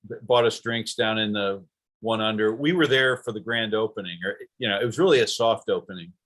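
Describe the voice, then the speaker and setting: A man's voice: on the low side, brisk, a bit bright. A man hosting a golf podcast interview, chatting enthusiastically and asking friendly questions. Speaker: podcast host. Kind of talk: casual interview chat.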